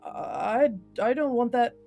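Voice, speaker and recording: A woman's voice: on the high side, slow pace, warm tone, faint music behind, pitched above the performer's own voice. A woman voicing a timid blacksmith who stammers and stays polite in a storybook reading. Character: timid trembling male village blacksmith.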